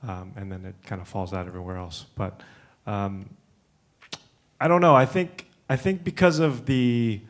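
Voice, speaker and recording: A man with a fairly low voice speaks as a panelist at a conference. He grew up in the United States and talks loosely, stopping to restart and filling pauses with "um" and "uh.". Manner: relaxed, with many fillers and restarts. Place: conference room.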